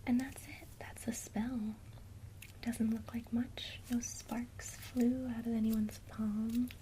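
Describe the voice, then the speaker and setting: Woman, ASMR lesson host, soft unhurried whisper, faint hiss behind. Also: a little high, little pitch movement, rough recording. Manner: slow, soft, gentle whispering. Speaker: ASMR creator teaching witchcraft.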